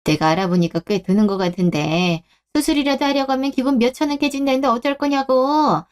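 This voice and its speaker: A woman's voice: high voice, fairly quick, clear, resonant tone, voice pushed up, lightly acted. A woman playing an overbearing older mother-in-law, harsh, nagging and indignant, in a radio drama. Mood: indignant, scolding. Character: scolding, overbearing older mother-in-law, quick to take offense. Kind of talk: Korean radio drama acting.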